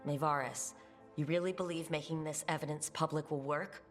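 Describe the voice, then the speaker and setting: Woman playing a low-voiced, level-headed adventurer. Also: fairly even intonation, a little fast, soft background music, close to an everyday voice. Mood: probing, calm. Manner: direct, questioning, composed. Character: level-headed female fantasy adventurer, questioning and pragmatic. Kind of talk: video game drama acting.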